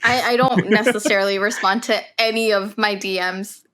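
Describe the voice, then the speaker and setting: A woman's voice: mid-range voice, fairly even intonation, moderate pace, fairly bright tone. A woman hosting a podcast with casual, conversational banter. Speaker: podcast host.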